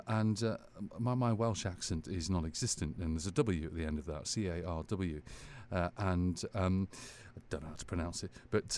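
A man's voice: sits low, a little mellow, a little hiss or hum. A man presenting music on the radio between songs, talking casually with many ums and stumbling repeats.

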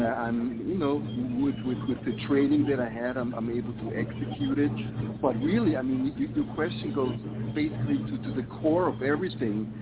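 A man talks over a tinny phone line, his voice pitched in the middle range for a man. He answers thoughtfully and haltingly, stumbling and repeating words as he searches for them. A steady hiss of noise sits under his voice. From Switzerland. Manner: reflective, hesitant, repeats words often. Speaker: musician guest. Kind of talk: casual interview answers.

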